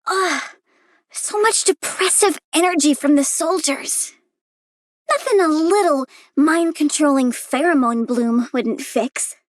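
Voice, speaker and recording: A woman's voice: sits high, takes it slowly, no echo, studio quality. A woman voicing a bratty, petulant young girl villain with plant powers in a video game, talking in a childish, cheeky way. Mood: sulky, menacing.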